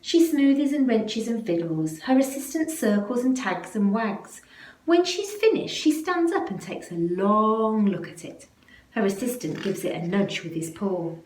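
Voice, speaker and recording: A woman reading a children's picture book aloud in an expressive way, with deliberate, dramatic phrasing that leans on the action words. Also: mid-range voice. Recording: roomy, echoey, faint background noise.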